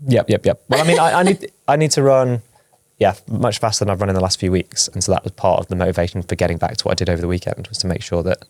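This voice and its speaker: A man with a low voice talks quickly and at length, as if chatting with friends. He rambles a little and teases, and the dry studio sound keeps him close to the mic. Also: dry recording. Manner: chatty, rambling, playful teasing. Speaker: podcast co-host. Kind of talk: casual podcast chat.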